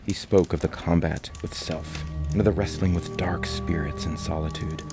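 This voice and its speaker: A man narrating a classic tale in a dramatic storybook style, with a gravelly voice. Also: low voice, steady tone, moderate pace. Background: music.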